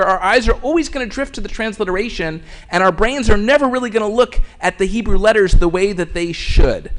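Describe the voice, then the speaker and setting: Clergyman addressing a congregation, conversational and explanatory, slightly high voice. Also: a bit bright. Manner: conversational and explanatory, with occasional fillers. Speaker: clergy leading a synagogue service. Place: synagogue sanctuary.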